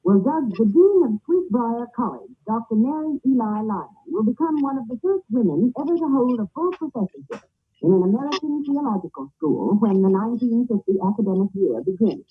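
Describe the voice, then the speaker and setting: A woman's voice: fairly high pitch, big pitch swings, brisk, breathy edge. Muffled, lilting 1940s radio newswoman. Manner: polished, presentational. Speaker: radio women's news reporter. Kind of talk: 1940s radio news reading.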